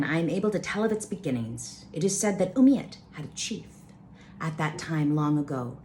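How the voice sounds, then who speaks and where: A woman storyteller reading aloud with informal asides, halting and careful over names. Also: low voice, a little mellow, slight background noise.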